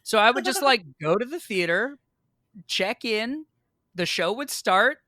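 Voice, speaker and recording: A man with a bright, forward voice tells a casual story as a podcast guest. His pitch moves around a lot as he plays out each side of an exchange. The sound is dry, with hardly any room on it. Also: a little slow, no room sound. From United States, New York. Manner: animated, acts out both sides of remembered exchanges. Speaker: podcast guest, stage actor.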